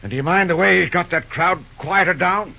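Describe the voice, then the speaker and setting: An actor playing a wary backwoods railroad hand with a husky voice and rustic dialect in an old-time radio drama. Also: male voice, sits high, moderate pace, muffled recording. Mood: admiring.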